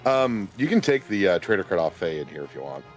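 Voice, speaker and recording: A man's voice: low voice, big pitch swings, fast talker. A man casually guiding a fellow streamer through a video game, explaining things a little hesitantly. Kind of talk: casual gameplay guidance chat. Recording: music in the background. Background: game music.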